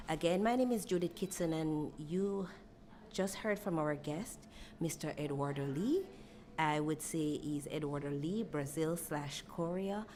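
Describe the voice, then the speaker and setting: Low-voiced woman asking long interview questions. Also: a little mellow, a little hiss or hum. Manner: probing, meandering questions. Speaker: student interviewer. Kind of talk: class interview.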